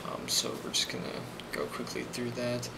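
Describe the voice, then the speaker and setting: A man acting as a friendly office boss in an ASMR role play, with a creaky, mid-pitched voice. He rambles softly and hesitantly, reassuring as he goes. Loud noise runs constantly behind him. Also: completely flat delivery, moderate pace, natural voice. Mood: calm, casual. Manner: soft-spoken, hesitant, reassuring.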